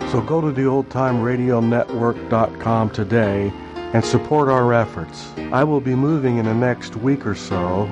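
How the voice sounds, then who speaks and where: Mid-pitched man over guitar music. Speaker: seller of old radio show collections. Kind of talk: plain promotional announcement.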